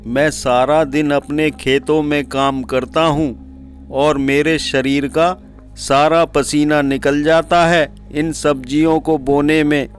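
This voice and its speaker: A man's voice: fairly high pitch, little pitch movement, slow, soft background music. A man voicing a weary, complaining village farmer in a cartoon story, plaintive and burdened. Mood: worried complaining. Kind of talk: Hindi cartoon story dialogue.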